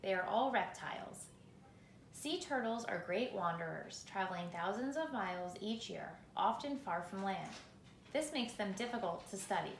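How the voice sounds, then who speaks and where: Woman reading a children's book, creaky voice. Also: mid-range voice, expressive, moderate pace. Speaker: reader. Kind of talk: children's picture book read aloud. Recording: roomy echo, faint background noise.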